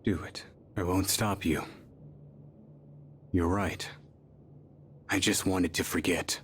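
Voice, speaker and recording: An actor playing a gruff, guilt-ridden young tough guy in a video game, with blunt and weary speech. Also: low-pitched, steady tone, takes it slowly, a little hiss or hum. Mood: weary, resigned. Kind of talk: English video game voice acting. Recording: music under the voice.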